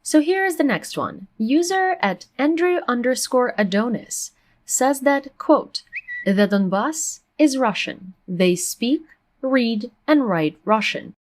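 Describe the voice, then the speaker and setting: A woman's voice: a little high, big pitch swings, slow pace. A woman hosting an interview, reading viewer comments aloud in a dry, wry way. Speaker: interview host.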